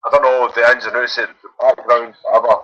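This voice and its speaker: A man's voice: mid-range voice, flat delivery, quick pace, no echo, highs cut off. A man from Glasgow with a Glaswegian accent, calling in to a phone-in and chatting casually in loose run-on phrases. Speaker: caller.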